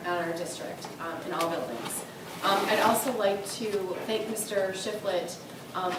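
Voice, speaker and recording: A nasal-sounding woman recovering from illness, a school administrator introducing a presenter to the board, with many fillers. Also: fairly low pitch. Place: school board meeting room. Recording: very echoey room, distant mic, noisy.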